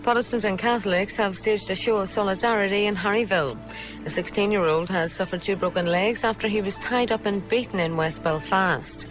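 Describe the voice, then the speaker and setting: A woman with a Northern Irish accent reading a scripted radio news bulletin in a formal, measured way. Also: medium pitch, music in the background, rough recording. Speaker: radio newsreader.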